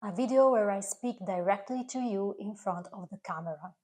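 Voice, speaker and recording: A woman's voice: mid-range voice, dark tone. An Italian woman addresses her viewers directly in English, her second language. She is earnest and careful, with a few hesitations and a mid-range voice as she explains her work. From Italy. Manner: earnest, careful, slightly halting non-native phrasing. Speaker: food channel creator. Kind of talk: speaking directly to camera.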